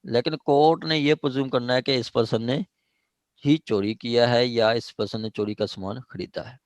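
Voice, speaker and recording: A man's voice: mid-range voice, steady tone, normal speed, no room sound. A man with a Pakistani accent giving a classroom law lecture, reading out legal text and explaining it step by step, repeating himself and checking understanding. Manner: repetitive, explains step by step with frequent check-in questions.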